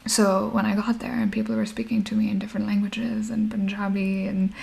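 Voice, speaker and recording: A woman in her thirties who grew up in Nigeria chats through an interview, often laughing as she speaks. Her voice sits at an ordinary middle pitch with a gravelly, creaky texture. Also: little pitch movement, brisk, darkish tone. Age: 30s. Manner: chatty, laughs often while talking. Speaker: guest. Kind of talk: casual interview conversation.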